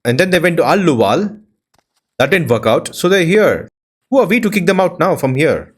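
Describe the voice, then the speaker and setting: A man giving casual let's-play commentary in a husky voice, musing aloud and reading names off the screen. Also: on the high side, big pitch swings, moderate pace. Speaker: video game player and host. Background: plucked-string game music.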